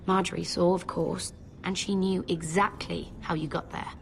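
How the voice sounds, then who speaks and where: Reproachful young court lady, slightly creaky. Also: on the low side, level tone, normal speed, slight background noise, rough recording. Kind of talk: dramatic fantasy video game acting.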